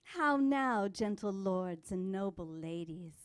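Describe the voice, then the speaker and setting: A woman's voice: mid-range voice, lively intonation, slow pace, heavy background noise. A woman playing a vain, lovestruck, dramatic thirteen-year-old noble maiden in a comic mock-Shakespearean monologue with modern slang. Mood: bubbly, boastful. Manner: theatrical, exaggerated, indignant.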